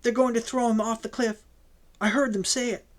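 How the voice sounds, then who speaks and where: A woman's voice: medium pitch, moderate pace, voice pushed up, close to an everyday voice. A man reading an audiobook voices a desperate young woman who pleads through sobs and stammers. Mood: tearful, pleading. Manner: sobbing, stammering pleas.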